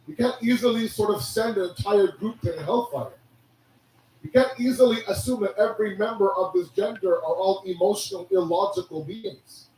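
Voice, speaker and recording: A hoarse man giving a sermon, preaching with animated rhetorical questions. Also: high voice, a little hiss or hum. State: hoarse, losing his voice. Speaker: religious speaker. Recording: echoey hall from a distance.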